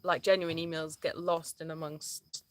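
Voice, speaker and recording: A woman's voice: deep voice, little pitch movement, normal speed. A woman co-hosting a casual livestream chat, reading out viewer comments and laughing often. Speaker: sanctuary co-host.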